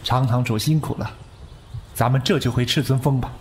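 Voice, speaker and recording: An actor playing an earnest, ruthless young lord of a martial sect, speaking with authority in a Chinese wuxia audio drama. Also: male voice, medium pitch, fairly even intonation, a little fast, noisy background, close to an everyday voice. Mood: composed. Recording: music under the voice.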